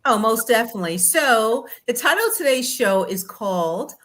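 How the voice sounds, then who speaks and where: A woman's voice: fairly high pitch, big pitch swings, normal speed, fairly bright tone, slight background noise. American woman co-hosting a show. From US East Coast. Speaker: show co-host. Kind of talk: upbeat show introduction and guest introduction.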